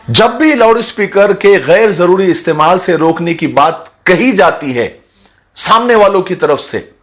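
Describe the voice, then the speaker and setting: A man giving an earnest, sermon-like commentary to his audience in a measured, emphatic manner. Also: sits high, fairly bright tone. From India. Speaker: social commentator speaking to his video audience. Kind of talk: earnest explanatory talk, sermon-like.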